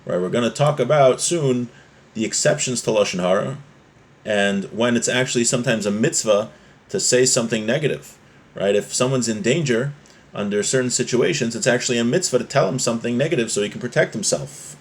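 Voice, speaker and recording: Male religious teacher, mid-pitched, informal and explanatory, moving between reading a legal text and commenting on it. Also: fairly quick. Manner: explanatory, often checking with "right?".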